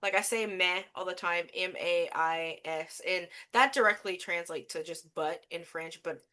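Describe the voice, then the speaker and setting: A woman from Louisiana with a Louisiana accent, chatting casually as co-host, animated and laughing often, with plenty of swearing. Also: fairly low pitch, a little slow. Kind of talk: casual chat.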